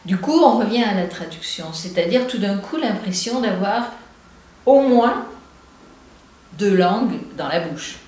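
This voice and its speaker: A woman's voice: medium pitch, expressive, measured pace, a little mellow. A poet and translator from Marseille, a woman with a Marseille accent, who talks through her thoughts with frequent hesitations and restarts. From Marseille, southern France. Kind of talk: reflective interview talk. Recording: very echoey room with faint noise.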